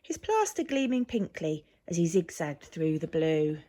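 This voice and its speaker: A woman reading a children's picture story aloud with expressive, emphatic delivery, like an infant school teacher. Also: lowish voice. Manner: animated, measured storytelling with emphasis.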